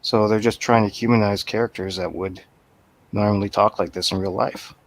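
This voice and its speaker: A man with a lowish voice speaks as a guest in a film discussion. He debates in a casual way, laying out his reasoning conversationally. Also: fairly even intonation, moderate pace. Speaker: movie review panel guest.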